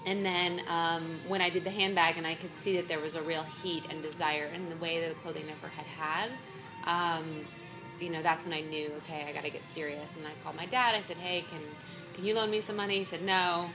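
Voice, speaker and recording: A woman in her thirties talks about her business in a casual interview, candid and dryly funny. Her voice sits a little low. Music plays clearly in the background. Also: little pitch movement, fairly quick, low-quality recording. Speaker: fashion business founder, guest.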